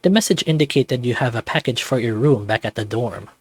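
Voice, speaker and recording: A man's voice: medium pitch, fairly quick, no echo. A man reading a visual novel aloud as narrator and player, with occasional asides of his own.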